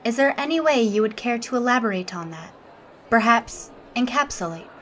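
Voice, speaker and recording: A woman playing a logical alien officer in an audio drama, speaking in a measured, formal, unemotional manner. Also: a little high, lively intonation, fairly bright tone, a little hiss or hum. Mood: composed, courteous. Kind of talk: audio drama interview and log narration.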